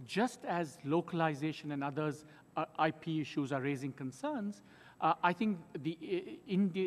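Male witness, 40s, halting delivery with restarts, lighter pitch, long reverb. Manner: hesitant, many fillers and restarts, animated hands. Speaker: witness at a hearing. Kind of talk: testimony. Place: large wood-panelled hearing room. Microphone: desk microphone. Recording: long reverb of a large hearing room.

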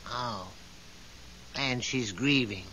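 A male God for seventies radio drama. He is mild and unhurried, musing hesitantly and politely dodging answers. The old recording carries a light hiss. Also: medium pitch, highs cut off. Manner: musing, hesitant, gently evasive. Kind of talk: 1970s radio drama acting.

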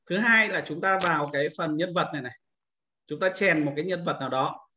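A man's voice: fairly high pitch, fairly quick, sharp tone, lo-fi sound, a little hiss or hum. A man giving an informal screen-recorded tutorial as an instructor, explaining things step by step and often repeating himself.

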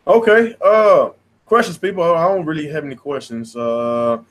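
An American man in his thirties hosting a casual gaming podcast with informal slang and animated banter. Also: on the high side, normal speed. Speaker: podcast host. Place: small game room at home, indoors.

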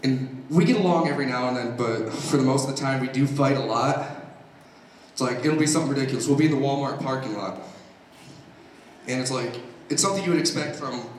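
A man's voice: medium pitch, fairly quick. A teenage young man telling a stand-up style personal anecdote to an audience from a stage. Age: teens. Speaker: high school pageant contestant performing on stage. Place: large school auditorium stage. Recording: echoey auditorium from far off, faint noise.

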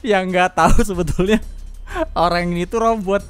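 A man's voice: high voice, fairly even intonation, normal speed, fairly bright tone, smooth, soft background music. A man giving casual gameplay commentary as a streamer. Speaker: gaming streamer.

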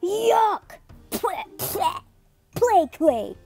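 A woman voicing an excitable, easily pleased father in a children's storybook, with drawn-out exclamations. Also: very high-pitched, soft background music, voice pushed up, exaggerated voice. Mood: disgusted yelling.

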